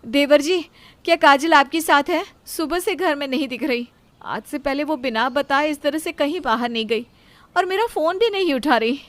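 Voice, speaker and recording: A woman's voice: sits high, moderate pace, a bit bright, no room sound, pitched above the performer's own voice. A woman voicing a motherly, anxious elder sister-in-law in an insistent, doting manner for a story.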